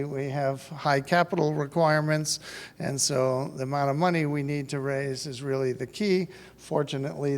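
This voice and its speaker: A man's voice: mid-range voice, vocal fry. A man in his sixties giving a business presentation at a conference podium. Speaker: company founder presenting at a conference. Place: large conference hall at a podium. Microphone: podium microphone. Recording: reverberant conference hall sound.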